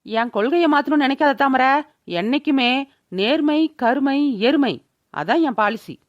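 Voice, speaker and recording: A woman's voice: sits high, normal speed, dry recording, highs cut off, lightly acted. A woman voicing a cheeky, theatrical young village woman in a comedy cartoon, with speech and a sassy manner. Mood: smug, teasing.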